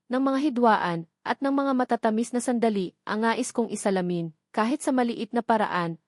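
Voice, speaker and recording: A woman's voice: mid-range voice, darkish tone, no echo, clean studio sound. A woman with a Filipino accent reading a scripted love story as an audiobook narrator, in an earnest, sentimental, dramatic way.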